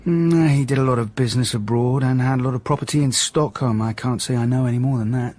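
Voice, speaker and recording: A senior male detective played by an actor in a radio drama. His voice sits at a middling pitch, and he questions drily and briskly, always probing for more. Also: darkish tone, soft background music, close to an everyday voice. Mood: dry, probing. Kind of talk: naturalistic radio drama acting.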